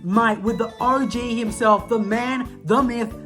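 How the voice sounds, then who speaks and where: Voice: medium pitch, steady tone, measured pace, fairly bright tone, soft background music. A young adult with an Australian accent, ranting casually and self-mockingly to camera in a slangy, rambling way full of restarts. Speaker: vlogger. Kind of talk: casual nostalgic rant to camera.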